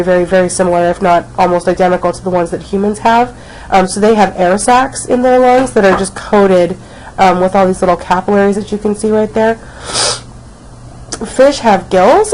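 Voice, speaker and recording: A woman's voice: fairly low pitch, darkish tone, low-quality recording. A woman teacher recording a casual biology lecture, snuffly from a cold, with many ums as she talks. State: has a cold, snuffly and coughing. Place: at home, not at school.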